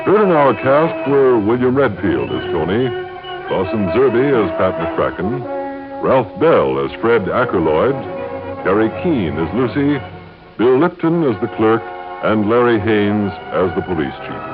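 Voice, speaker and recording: A man's voice: mid-range voice, sing-song, normal speed. A man with an American accent announcing a 1950s radio show from a script, in an emphatic, formal, showmanlike delivery. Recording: narrow tinny vintage radio recording. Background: music.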